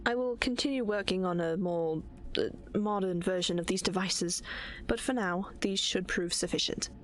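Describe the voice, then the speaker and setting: A woman's voice: lowish voice, close to an everyday voice. Woman acting a poised, formal princess. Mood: composed. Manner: formal, measured, polite. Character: poised, courteous inventor princess. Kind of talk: audio drama acting.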